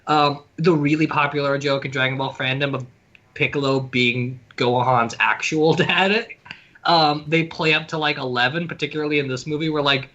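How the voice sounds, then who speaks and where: A man's voice: on the high side, fairly even intonation, moderate pace, bright, forceful tone. A man co-hosting a review podcast in casual chat, heard through slightly dull, lo-fi audio. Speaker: podcast co-host reviewing a fan dub.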